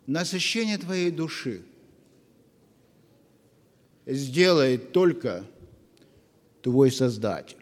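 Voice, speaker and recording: A man preaching a sermon to his congregation, earnest and full of pauses. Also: mid-range voice, expressive. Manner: slow, earnest, with long pauses. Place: church hall. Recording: long reverb of a church hall.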